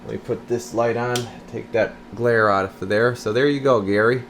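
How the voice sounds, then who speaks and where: A man's voice: medium pitch, fairly even intonation, normal speed, fairly bright tone, a little hiss or hum. A man giving a casual, rambling hands-on demonstration as a radio repair technician, explaining things informally as he works at his bench. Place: indoor radio repair workbench.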